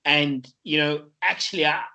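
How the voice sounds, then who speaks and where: A man's voice: fairly high pitch, steady tone, normal speed, sharp tone, dull audio. A man hosting a podcast, asking long, meandering questions with many fillers. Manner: rambling, hesitant questions. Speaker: podcast host. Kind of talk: podcast interview.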